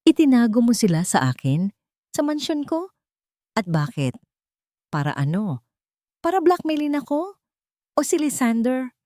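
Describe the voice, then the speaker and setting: A woman's voice: fairly high pitch, big pitch swings, a little slow, pauses often, dark tone, no room sound, clean studio sound, pitched above the performer's own voice. A woman voicing a cold, vengeful wealthy woman in an audiobook, with a hard, controlled delivery. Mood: controlled anger. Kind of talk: audiobook character acting.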